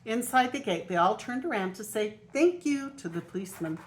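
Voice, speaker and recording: An older woman, a grandmother, reading a children's picture book aloud in an expressive way. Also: mid-range voice, a little fast, slight background noise. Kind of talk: storybook read-aloud.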